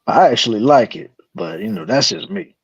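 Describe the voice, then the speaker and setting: A live stream co-host with a medium-pitched voice talks in a loose, slangy style. The audio is dry and somewhat muffled and lo-fi. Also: big pitch swings, brisk, gravelly, husky, no room sound. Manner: slangy, shout-outs to viewers and guests. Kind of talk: casual panel chat.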